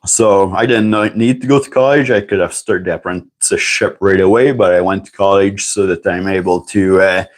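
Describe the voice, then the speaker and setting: A man's voice: sits low, fairly even intonation, normal speed, few pauses. A man, chatting casually on a livestream and answering viewer questions. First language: French. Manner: rambling and chatty, answers viewer questions, clears throat often. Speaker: livestream host.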